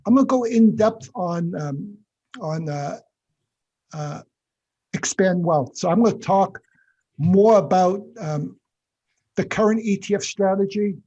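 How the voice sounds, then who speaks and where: Chatty high-voiced man on a lo-fi call. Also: measured pace, long pauses, mellow, slightly rough. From Boston area. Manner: chatty, laughs often, many restarts. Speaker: lecturer hosting a finance session. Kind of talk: casual online class chat.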